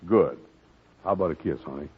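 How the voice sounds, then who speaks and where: A man's voice: low voice, fairly quick, very noisy, natural voice. An actor playing a bumbling man who poses as a soldier, stammering and bluffing in a 1940s radio comedy. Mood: sweet-talking. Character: bumbling smooth-talking man posing as soldier. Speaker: Andy, comic lead. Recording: tinny old radio broadcast.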